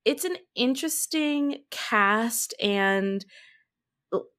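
A woman's voice: a little high, animated, very slow, a bit bright, clean tone. A woman co-hosting a casual podcast chat, often chuckling as she talks. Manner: giggly, chuckles while talking. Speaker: podcast co-host discussing anime.

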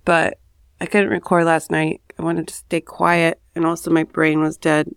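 Brief: woman host, just up and hoarse, gravelly edge, smooth resonant tone, rambling chatty asides, dry close sound. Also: low-pitched, little pitch movement, moderate pace, dry recording. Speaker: podcast host. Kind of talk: casual solo monologue. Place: home, early morning.

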